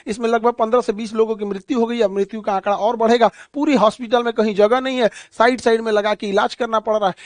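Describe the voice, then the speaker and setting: A man's voice: sits high, no echo. A man with a Bihari accent giving an explanatory current affairs lecture like a teacher, emphatic, repeating key phrases and posing questions he answers himself.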